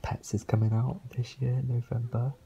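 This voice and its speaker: A young man, slightly croaky, rambling casually as he comments on his gameplay. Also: medium pitch, little pitch movement, moderate pace, mellow, slight background noise. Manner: rambling, chatty, frequent fillers. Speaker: let's play host.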